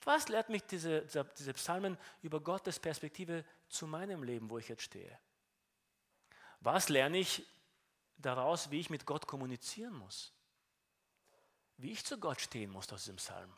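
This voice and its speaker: A man's voice: fairly high pitch, expressive, normal speed, pauses often, fairly bright tone. Earnest Romanian-accented male preacher. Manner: earnest, frequent repeated words and restarts. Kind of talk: sermon.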